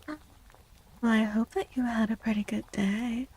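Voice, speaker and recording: A woman from the United States chatting softly and casually in an ASMR style, with a gentle, encouraging manner, as a streamer talking to viewers. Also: fairly high pitch, steady tone, normal speed, slightly rough, poor audio. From United States, born to Mexican parents. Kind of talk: soft-spoken ASMR casual chat. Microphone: close, dry microphone.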